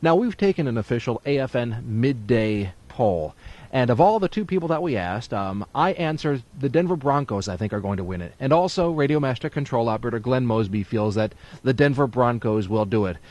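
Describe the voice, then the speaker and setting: A man's voice: mid-range voice, animated, fairly quick, darkish tone. A man working as a radio DJ in a studio, giving playful, folksy announcer patter for a 1980s music show. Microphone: studio broadcast microphone.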